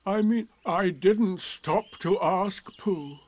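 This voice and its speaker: A man's voice: sits high, slow pace, darkish tone, dry recording, pitched above the performer's own voice. A man voicing a gloomy, sulky old male donkey in a storybook reading, with a mournful, sarcastic drawl.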